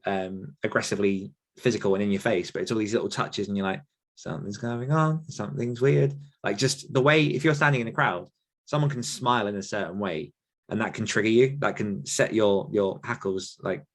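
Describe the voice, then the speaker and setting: Guy in his thirties, low voice, chatty podcast host who trails off hunting for the right word. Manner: rambling, searching for words with many fillers. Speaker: podcast host and horror author. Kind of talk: casual conversational Q&A.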